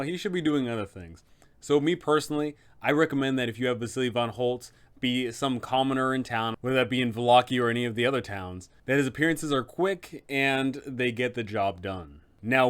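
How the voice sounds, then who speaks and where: A man hosting an informal, explanatory guide for game masters, emphatic and opinionated in how he talks. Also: medium pitch. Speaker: tabletop game advice host.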